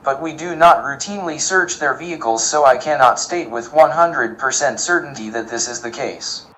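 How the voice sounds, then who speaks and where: A man's voice: mid-range voice, moderate pace, fairly bright tone, lightly acted, poor audio. A man playing a polite, literal-minded robot cook in an audio drama, speaking in a precise, formal manner.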